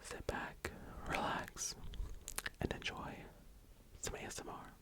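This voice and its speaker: A young adult ASMR host speaking and reading poetry in a breathy, gentle whisper, lingering on the words. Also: slow pace.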